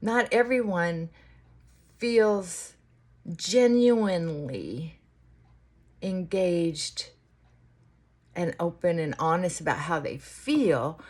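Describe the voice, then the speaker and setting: A woman vlogger talking in casual, rambling chit-chat, reflective and halting, often trailing off and circling back. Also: lowish voice.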